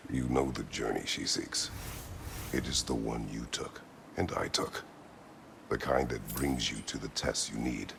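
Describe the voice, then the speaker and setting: A gravelly, rumbling-deep stoic warrior. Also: male voice, measured pace, exaggerated voice. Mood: stern, knowing. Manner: terse, grave, blunt. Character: stern, weary, battle-hardened warrior man, stoic counsellor. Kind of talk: dramatic video game voice acting. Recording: clear background noise.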